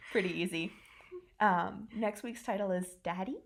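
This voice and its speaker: A woman's voice: medium pitch, unhurried, gravelly. A woman in casual, joking chat as a co-host, with an irreverent manner. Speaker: podfic co-creator and host.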